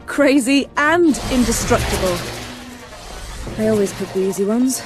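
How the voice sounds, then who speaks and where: Young action heroine for a game: dry, terse, determined, raised register over music. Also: female voice, fairly high pitch, lively intonation, a little slow. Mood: wry, determined. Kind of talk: video game cutscene acting.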